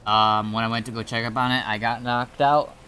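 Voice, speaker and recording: A man's voice: mid-range voice, level tone, a little fast, pushed and bright, slight background noise. A man chatting casually over gameplay in a hesitant, rambling way, as a streamer would. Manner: rambling, hesitant, repeats himself. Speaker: streamer and server admin. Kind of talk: casual gameplay commentary and chat.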